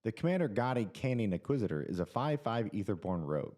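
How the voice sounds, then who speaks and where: A man's voice: on the low side, big pitch swings, fast talker, darkish tone. A man giving scripted voice-over narration, evenly reading out card text and deck details.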